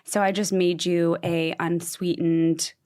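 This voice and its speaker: Woman podcast host with a lowish voice. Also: flat delivery, slow, darkish tone. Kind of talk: conversational interview.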